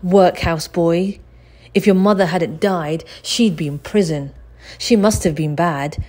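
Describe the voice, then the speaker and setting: A woman's voice: fairly low pitch, measured pace, a little mellow. Woman as a storybook bully boy, sulky, jeering, whiny, cowardly apprentice. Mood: mocking. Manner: taunting, whiny.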